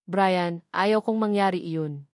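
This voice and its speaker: A woman's voice: lowish voice, slow, a little mellow, no room sound. Female reader as anxious young Filipino heroine of romance audiobook, tearful, apologetic, dry sound. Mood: anguished.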